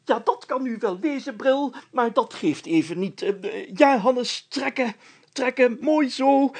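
A man's voice: very high-pitched, lively intonation, a little slow, husky, pitched above the performer's own voice, heavily acted. A man voicing a pompous, self-satisfied, flustered mayor in a story, stammering and speaking in grand, bossy phrases. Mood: flustered, excited. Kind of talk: dramatised story reading.

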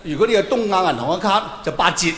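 A man with a Hong Kong Cantonese accent performing an animated, colloquial stand-up monologue to a live audience, acting out bits of dialogue. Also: high-pitched, quick pace. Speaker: stand-up comedian. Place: large indoor venue with long reverb. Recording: echoey hall, live stage, faint noise.

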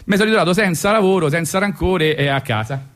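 A man's voice: a little high, fast, a bit bright. A man in his forties with a Roman accent telling ironic stories on the radio, colloquial and conversational, with a wry, mock-philosophical manner. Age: 40s. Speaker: radio show host and storyteller. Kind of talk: ironic spoken storytelling, conversational.

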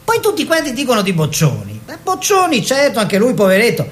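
A man's voice: huge pitch swings, brisk, fairly bright tone, full character voice. An actor playing a theatrical man of the arts world, declamatory and ironic, in a radio drama style. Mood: ironic storytelling. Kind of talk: theatrical narration and dialogue for radio. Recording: radio play with faint noise and rumble.

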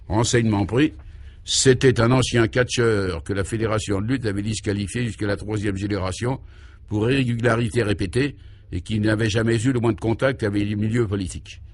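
A man's voice: fairly low pitch, a little hiss or hum, natural voice. A man voicing a self-assured local who proudly explains an absurd government in a pompous, emphatic way, in a comic radio serial. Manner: pompous, mock-official, emphatic.

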